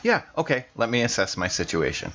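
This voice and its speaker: A man's voice: medium pitch, very animated, fairly quick, a bit bright. A man talking casually as a player in a tabletop role-playing game. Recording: dull lo-fi sound, background noise.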